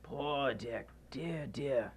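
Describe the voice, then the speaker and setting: A man's voice: mid-range voice, drawn-out words, breathy edge, exaggerated voice. A man playing an anxious, remorseful old miser in a theatrical, pleading, exclamatory radio drama style. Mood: wistful regret. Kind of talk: live student radio drama acting.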